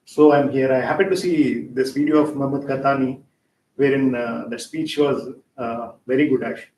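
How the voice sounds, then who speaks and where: A man introducing himself as a first-time guest at a speaking club, nervous and filling pauses with uh and um. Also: steady tone, darkish tone. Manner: nervous, filler-heavy. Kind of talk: self-introduction at club meeting. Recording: echoey hall, distant mic, faint rumble.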